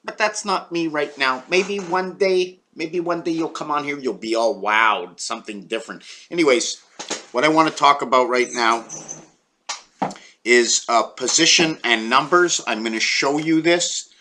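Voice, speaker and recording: A man's voice: on the high side, a little slow, pushed and bright, slight background noise. A man from Quebec explaining number patterns to a small online audience in a meandering, musing way, often counting aloud. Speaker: video creator. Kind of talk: rambling numerology explanation.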